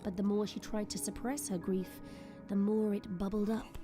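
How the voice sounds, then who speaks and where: A woman's voice: mid-range voice, little pitch movement, normal speed. A woman reading scripted, dramatic story narration as the narrator of an audio drama. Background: soft music.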